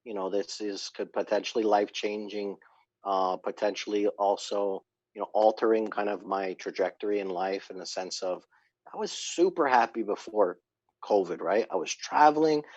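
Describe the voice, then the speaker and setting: Animated man telling stories on a podcast. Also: fairly low pitch, normal speed, dry recording, muffled recording. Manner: animated storyteller, acts out quotes. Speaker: guest, company founder. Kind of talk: casual podcast chat.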